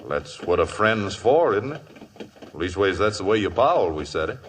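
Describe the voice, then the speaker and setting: Folksy cowboy sidekick, faint hiss. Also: male voice, fairly low pitch, big pitch swings, normal speed. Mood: gently probing. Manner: folksy, frank, easygoing. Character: loyal, concerned male cowboy sidekick, gently probing. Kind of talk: old-time radio Western acting. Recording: old radio broadcast with hiss.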